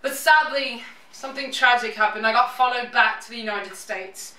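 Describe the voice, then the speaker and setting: Bright high woman with a put-on British accent. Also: normal speed. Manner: jokey, upbeat, self-mocking asides. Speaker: host of a live music show. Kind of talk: playful show introduction. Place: living room show space in a house. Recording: roomy sound, mic at a distance.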